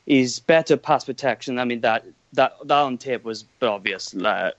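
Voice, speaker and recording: A Welsh man talks through his scouting views at a mid pitch, measured and a little hesitant, restarting his sentences. The sound is very dry and dull, with a lo-fi edge. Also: dry recording. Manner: measured, hesitant, starts over. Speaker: scouting guest. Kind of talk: casual podcast chat.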